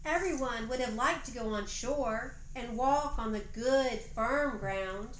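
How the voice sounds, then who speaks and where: Slow, high-pitched woman storyteller in echoey room. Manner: expressive read-aloud storytelling, deliberate phrasing. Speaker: narrator of a homemade children's history play. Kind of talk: storybook narration for an amateur play, with informal stage directions. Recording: roomy echoey home recording, faint noise.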